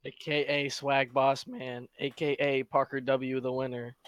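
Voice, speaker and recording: A man's voice: mid-range voice, little pitch movement, a little slow, a bit bright. A fifteen-year-old boy chatting loosely as a guest on a podcast, joking about himself. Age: teens. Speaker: interview guest. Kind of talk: casual chat.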